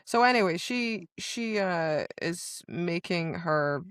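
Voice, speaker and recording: A woman's voice: on the low side, animated, takes it slowly, clear, resonant tone, no room sound, studio quality. A woman co-hosting a podcast, recapping a story in casual chat and emphatically quoting the characters. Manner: emphatic retelling, acting out characters' dialogue. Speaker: podcast co-host.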